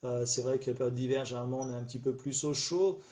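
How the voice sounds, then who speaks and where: A man's voice: medium pitch, fast talker, mellow. A middle-aged man hosting a casual live stream alone, rambling and hesitant, with many fillers and restarts, a little stuffy after a cold. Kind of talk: casual solo live chat. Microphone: phone or webcam on a live stream.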